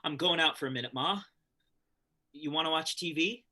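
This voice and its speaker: A man acting a worried son in a dramatic monologue, speaking in a tentative, gentle manner. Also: a little high, normal speed. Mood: gentle, weary. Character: worried son calling out and coaxing his mother. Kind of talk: acted dialogue in dramatic monologue.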